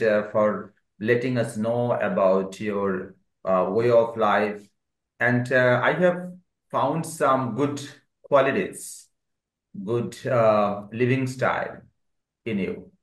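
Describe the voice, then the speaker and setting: A man's voice: medium pitch, slow pace. A man teaching an online spoken English class, calling on students and praising their answers. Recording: roomy echo.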